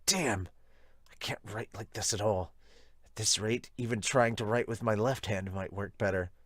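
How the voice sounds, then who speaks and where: A man's voice: fairly low pitch, normal speed, a bit bright, no echo, pitched below the performer's own voice. A man voicing a gloomy, frustrated teenage boy, muttering in an amateur manga dub.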